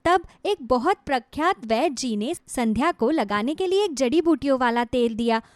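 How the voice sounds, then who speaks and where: A woman's voice: high voice, animated, moderate pace, no echo. A woman voicing a devoted, reassuring middle-aged mother in a moral story cartoon, earnest and soothing. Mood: explaining.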